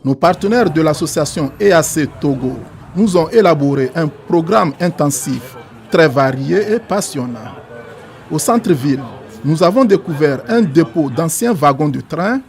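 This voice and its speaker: A man reading a scripted documentary narration in a gravelly voice. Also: on the high side, lively intonation, moderate pace, a little mellow. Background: music.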